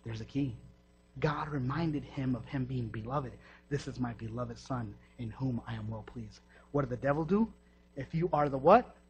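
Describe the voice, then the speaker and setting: A young man preaching a sermon with emphatic, animated delivery, calling out to the congregation. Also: medium pitch, normal speed, a little hiss or hum. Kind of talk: energetic sermon. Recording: dull lo-fi recording.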